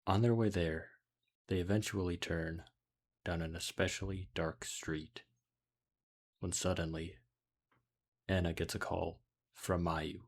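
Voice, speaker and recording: A man's voice: sits low, fairly even intonation, measured pace, pauses often. A man reading scripted narration, retelling a story.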